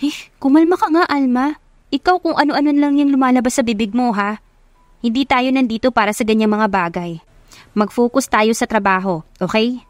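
Woman as young working woman, Filipino accent, higher pitch, sassy, defensive, easily flustered, radio romance. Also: moderate pace, no echo, close to an everyday voice. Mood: scolding.

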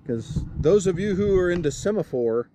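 A man's voice: high-pitched, animated, normal speed, background noise. A man joining the vlog outdoors, joking dryly and explaining things in a casual way. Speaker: co-host. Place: outdoors at an off-grid camp.

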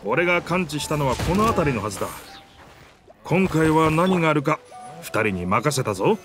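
A man's voice: a little high, sing-song, normal speed, music in the background. A man voicing a game character, the proud, wistful spirit of an old pirate warship, with rugged, old-fashioned, theatrical speech. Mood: hearty.

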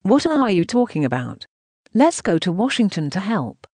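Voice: medium pitch, big pitch swings, normal speed, darkish tone, slightly rough, no echo. A synthetic text-to-speech voice reading translated news narration in a choppy way, speaking punctuation marks aloud.

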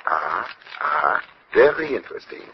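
A courteous, self-assured Belgian sleuth for a vintage radio mystery. His French-flavored accent is thick with formal turns of phrase, and his pitch swings widely. The recording is muffled, with some hiss behind him. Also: sing-song, unhurried. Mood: intrigued. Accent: French-speaking Belgian. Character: famous Belgian detective, polite, shrewd, self-assured man. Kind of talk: old-time radio detective drama acting. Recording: dull, lo-fi old radio recording, noisy.

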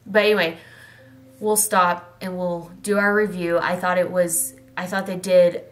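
A woman's voice: lowish voice, level tone, a little slow. A woman, chatting earnestly with a friend on a webcam in a small room, rambling and restarting her sentences. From Texas. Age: mid 20s. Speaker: vlogger. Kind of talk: casual chat with a friend. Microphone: webcam in front.

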